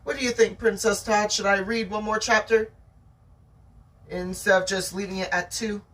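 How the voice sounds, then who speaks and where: Someone reading a novel aloud in an animated, theatrical way, with chatty asides to the listener. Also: medium pitch, normal speed, far from the mic.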